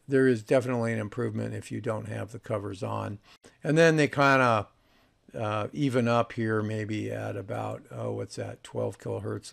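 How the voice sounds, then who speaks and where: A man's voice: medium pitch, gravelly. A man in his sixties hosting a casual, unscripted product review in a matter-of-fact way. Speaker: host of vintage audio review.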